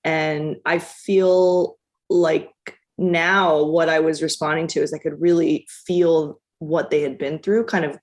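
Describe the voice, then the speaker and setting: A woman's voice: low voice, steady tone, takes it slowly, a little mellow. A woman, a documentary director, answering interview questions in a reflective, casual way with many restarts and fillers. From United States.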